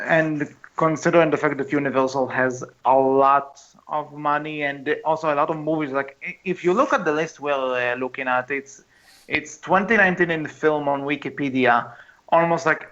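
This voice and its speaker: A man co-hosting a podcast in casual discussion, speaking in a hesitant, halting way. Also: on the high side, fairly bright tone. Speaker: podcast co-host. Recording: dull, muffled lo-fi mic.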